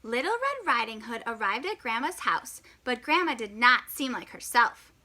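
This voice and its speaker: Woman storyteller, very bright voice, lively swooping pitch, expressive children's read-aloud, dramatic pauses.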